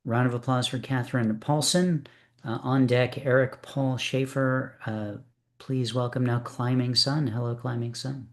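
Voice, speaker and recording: A man with a medium-pitched voice hosts a live poetry reading. He speaks informally and appreciatively, encouraging the readers as he moves things along. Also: fairly even intonation, a little slow. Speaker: host of poetry open reading. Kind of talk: casual live event hosting.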